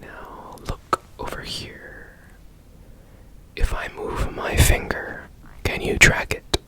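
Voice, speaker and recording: A man whispering in a breathy, deliberate way up close to the mic, in an ASMR roleplay as a mysterious repairman. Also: a bit bright. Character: strange secretive man repairing a broken robot. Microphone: close ASMR microphone.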